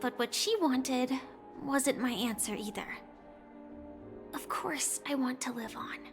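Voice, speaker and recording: A woman voicing a gentle, earnest, wistful young woman in an anime-style video game, speaking softly and sincerely with hesitant pauses. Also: high voice, music in the background. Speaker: game character voice actor.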